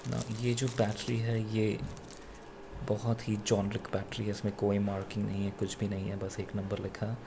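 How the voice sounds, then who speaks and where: A man with an Indian accent giving an unscripted gadget review in a halting, self-correcting way, switching between two languages. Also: fairly low pitch, monotone, gravelly, noisy background. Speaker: product reviewer. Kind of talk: unscripted gadget review, mixing Hindi with English.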